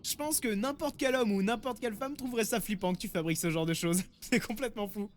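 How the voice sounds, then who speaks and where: A woman playing a cheery, teasing young team member in an anime fan dub, blunt and excitable. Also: mid-range voice, fast, a little hiss or hum, close to an everyday voice.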